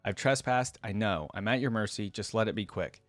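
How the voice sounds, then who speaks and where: A man's voice: fairly low pitch, brisk, dry recording, faint music behind, natural voice. A man voicing a frightened but defiant young female hunter in a read-aloud story, terse and pleading. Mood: frightened defiance.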